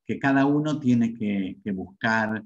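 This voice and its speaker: Husky, low-voiced Argentine man teaching. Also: unhurried. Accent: Argentine Spanish. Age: middle-aged. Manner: explanatory, often checks in with short tag questions. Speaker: language teacher giving a webinar. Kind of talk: informal explanatory lecture. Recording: dull, muffled webinar audio.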